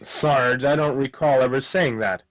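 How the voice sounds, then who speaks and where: An actor playing a flippant, absent-minded hard-boiled detective in a radio play, with a deadpan, wisecracking delivery. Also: male voice, mid-range voice, measured pace, faint music behind, close to an everyday voice, poor audio. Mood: flippant, vague. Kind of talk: noir radio play narration and dialogue.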